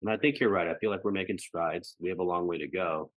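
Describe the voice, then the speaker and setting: Low-voiced American man, dry close sound. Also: fast, dry recording. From United States. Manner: frequently ends phrases seeking agreement with "right" and "you know". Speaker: podcast guest. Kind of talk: reflective personal conversation.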